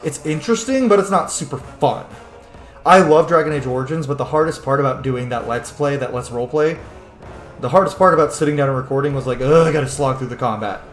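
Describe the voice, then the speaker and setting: A man's voice: fairly high pitch, fast. A man giving casual, sweary, emphatic and opinionated commentary as host of a video game playthrough. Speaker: host of a game roleplay series. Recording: roomy sound with faint game music.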